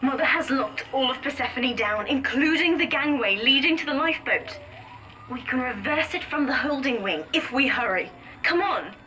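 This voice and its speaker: A woman's voice: high-pitched, expressive, moderate pace, rough recording. A woman playing a defiant, devoted young daughter in a video game, speaking with solemn intensity. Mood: urgent. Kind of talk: dramatic video game acting.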